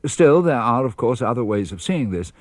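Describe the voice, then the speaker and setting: A man reading personal letters aloud for a radio broadcast, in a measured, earnest, fatherly manner. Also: medium pitch, big pitch swings, darkish tone, no room sound, studio quality. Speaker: reader of letters. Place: radio studio.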